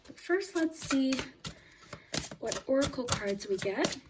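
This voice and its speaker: A woman hosting a casual, unscripted tarot reading, speaking gently and calmly and often trailing off quietly. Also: sits high, takes it slowly, very noisy. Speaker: tarot reader and channel host.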